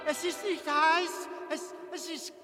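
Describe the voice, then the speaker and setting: A drifting, plaintive presence in a radio drama. The high voice moves extremely slowly and eerily through a long reverb, with music underneath. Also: female voice, fairly even intonation. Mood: plaintive, eerie.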